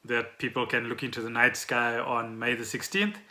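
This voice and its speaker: A woman's voice: a bass voice, little pitch movement, moderate pace, sharp tone. A woman chatting casually as a podcast co-host at home. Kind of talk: casual chat. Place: at home, indoors.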